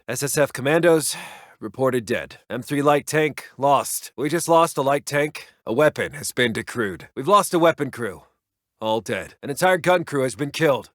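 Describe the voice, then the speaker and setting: An actor playing a brisk, grave American army intelligence officer in a war strategy game, reporting in clipped, soldierly phrases. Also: male voice, fairly high pitch, a bit bright, no room sound, clean studio sound. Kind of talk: scripted military radio-report game voice lines.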